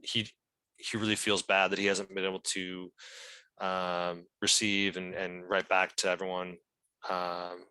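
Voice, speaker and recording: A man's voice: sits low, little pitch movement, a little slow, sharp tone, clear, resonant tone, no room sound, low-quality recording. A man giving a careful, hesitant informal explanation at a meeting, often restarting his phrases. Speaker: legal team member speaking at a meeting.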